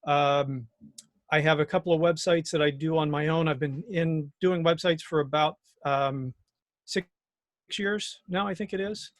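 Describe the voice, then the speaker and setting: A man speaks on a video call in an easy, unhurried way, pausing often with little fillers. His voice sits a bit high for a man. The sound is close and dry. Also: no room sound. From Rochester area, New York. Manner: relaxed, hesitant with many ums. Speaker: meetup participant, physics professor. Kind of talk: casual self-introduction on a video call.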